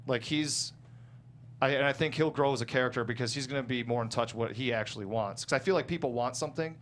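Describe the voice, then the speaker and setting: A man's voice: mid-range voice, quick pace, fairly bright tone, a little hiss or hum. A man talking casually as a guest screenwriter, rambling and self-deprecating as he goes. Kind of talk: casual conversation.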